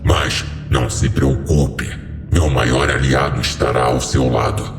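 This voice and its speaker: A man's voice: extremely low pitch, steady tone, a little slow, vocal fry, pitched below the performer's own voice, heavily acted. A man playing an ancient, solemn and commanding fallen being who speaks in stately, cryptic pronouncements in a horror audio story. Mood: grave, reassuring. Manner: solemn, stately, portentous delivery. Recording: heavily reverberant voice over music.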